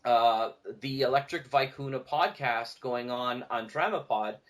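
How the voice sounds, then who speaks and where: A man talking casually as an interview guest, telling stories in an animated way and acting out bits of dialogue. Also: mid-range voice, measured pace. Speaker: podcast guest, audio drama producer and show host.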